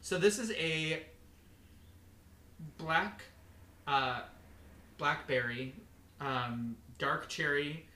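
A man's voice: on the high side, drawn-out words, long pauses, pushed and bright, a little hiss or hum. A young man co-hosting a casual podcast, rambling with lots of fillers and swearing freely. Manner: loose, swears a lot, rambling with many fillers. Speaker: podcast co-host.

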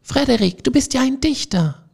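A chorus of little field mice. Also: male voice, animated, measured pace, creaky, a little mellow, heavily acted. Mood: eager. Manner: squeaky and pressing.

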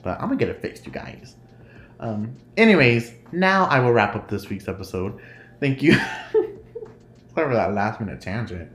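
A man's voice: lowish voice, very animated, moderate pace, pauses often, fairly bright tone. A man with a nasal voice hosting a casual solo podcast, rambling and laughing often. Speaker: podcast host. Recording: roomy echo, faint noise.

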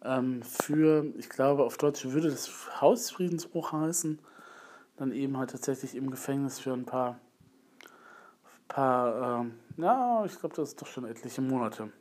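A man's voice: medium pitch, a little slow, a little mellow. A man with a slight cold giving a rambling, hesitant spoken review in a casual monologue. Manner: rambling, hesitant, repeats filler phrases. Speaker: video blogger.

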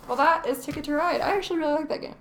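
A woman's voice: sits high, racing. A young woman co-hosting a podcast in casual chat, joking and sarcastic, often laughing. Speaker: podcast co-host. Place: small room, mic on table. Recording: faint background noise.

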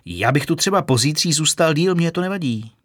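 A man voicing an eager male magic clay shaper who pours out a rushed torrent of words. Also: mid-range voice, big pitch swings, a bit bright, no echo, studio quality.

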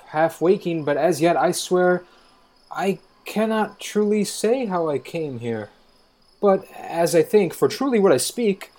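A man's voice: fairly high pitch, a little mellow, close to an everyday voice. An actor playing a courteous, hesitant, bewildered young male lover in a Shakespearean radio play.

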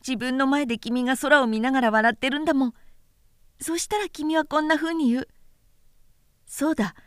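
Storybook boy prince, played by a woman: small, gentle, wistful; high, soft childlike delivery, very dry sound. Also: moderate pace, dry recording, natural voice. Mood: playful, tender. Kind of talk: storybook character voice in a literary recitation.

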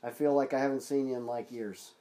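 A man's voice: mid-range voice, steady tone, fairly quick, warm tone. A man co-hosting a wrestling podcast in casual chat, sounding stuffed up and nasal from congestion. He rambles loosely, with fillers and restarts. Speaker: podcast co-host.

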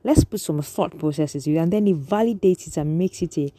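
A woman's voice: on the low side, fairly quick, dark tone, dry recording. A Nigerian woman giving an earnest, lecturing opinion monologue to an audience, often restarting her sentences.

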